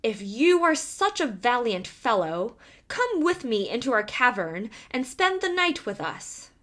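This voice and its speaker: A big boastful giant from a storybook, acted out by a woman, easily fooled and challenging. The voice is high and swings widely in pitch, with slow, deliberate delivery. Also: very animated, fairly bright tone. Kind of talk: storybook character voice.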